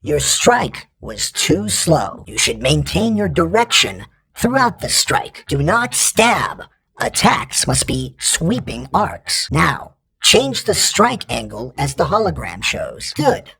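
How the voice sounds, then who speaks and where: An actor voicing a stern, precise male training droid in a video game, giving clipped, formal combat instructions in a raspy voice with robotic processing. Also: fairly high pitch, very animated, takes it slowly, sharp tone, heavily acted. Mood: correcting, stern. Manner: terse, formal, imperative, emotionless correction.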